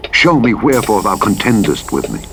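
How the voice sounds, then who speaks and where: A man narrating scripture from a script in a solemn, measured, formal delivery. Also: fairly low pitch, very animated, creaky, crowd noise. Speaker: audiobook Bible narrator. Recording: engine and vehicle noise over playback. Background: truck simulator engine noise.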